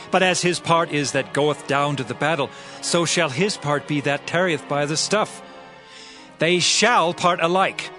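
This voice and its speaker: A man's voice: fairly high pitch, fairly bright tone, pitched above the performer's own voice, close to an everyday voice. A man voicing a righteous, commanding warrior leader with a formal, declamatory delivery in a scripture drama.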